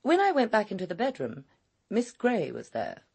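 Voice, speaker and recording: A woman's voice: mid-range voice, huge pitch swings, normal speed, vocal fry, a little mellow, husky, full character voice. An actress playing a stiff, guarded lady's maid, terse and formal, in a radio drama. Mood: stiff, cool. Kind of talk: British radio drama acting.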